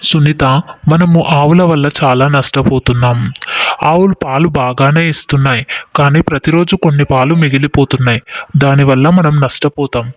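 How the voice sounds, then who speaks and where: A man's voice: mid-range voice, moderate pace, no echo, lo-fi sound, close to an everyday voice. A man voicing an earnest, restless young husband with business dreams in an acted moral story. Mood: worried.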